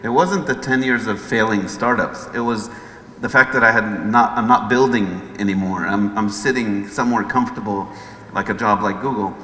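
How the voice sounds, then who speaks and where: A man's voice: on the low side, moderate pace, sharp tone. A middle-aged man with an American accent speaking conversationally as a guest at a talk, recounting his own career. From Los Angeles, United States. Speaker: guest speaker, chief executive. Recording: echoey hall with background noise.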